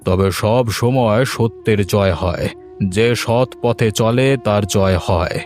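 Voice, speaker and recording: A man voicing a stern but fair village headman in a cartoon moral story, with measured, moralising speech. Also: fairly low pitch, a little mellow, soft background music, voice pushed down. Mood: solemn advice.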